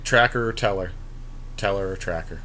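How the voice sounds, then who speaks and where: A man's voice: sits low, moderate pace, pushed and bright, a little hiss or hum. A man casually commentating as a podcast co-host while playing a card game, with dry self-mocking humor and casual swearing. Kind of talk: casual gaming commentary, chatting while drafting cards.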